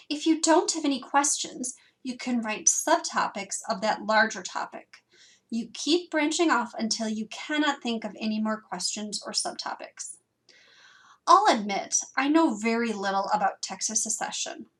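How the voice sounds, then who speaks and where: A young woman from Wisconsin, speaking with a Wisconsin accent, a librarian giving a scripted lesson in a lively, self-teasing way full of questions. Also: on the high side. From Wisconsin, USA. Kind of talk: scripted instructional narration.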